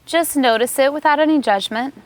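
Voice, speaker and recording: High-voiced woman guiding a meditation. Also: dry recording. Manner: calm, soothing, unhurried instructing. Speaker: yoga teacher leading guided meditation. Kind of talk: guided meditation instruction.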